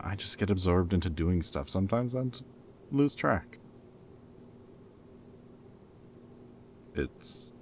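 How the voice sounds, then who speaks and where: A man's voice: sits low, darkish tone, slight background noise, heavily acted. A man playing a former villain in an audio roleplay, a playful, loyal friend who talks in a casual, wry, bantering and hesitant way, as if in conversation. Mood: defensive banter.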